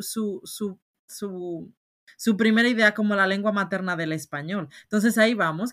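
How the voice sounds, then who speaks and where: A woman's voice: medium pitch, a bit bright. A woman from Spain with a Spanish accent mixed with Dominican speech, co-hosting a casual podcast chat and telling stories with animation. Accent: Spanish with Dominican mix. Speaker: podcast co-host.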